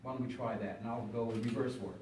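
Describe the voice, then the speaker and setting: An older man moderating a panel, halting and hesitant, full of fillers and restarts. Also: medium pitch, fairly even intonation, darkish tone. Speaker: moderator, university teacher. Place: panel room with audience. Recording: echoey room, far from mic, noisy.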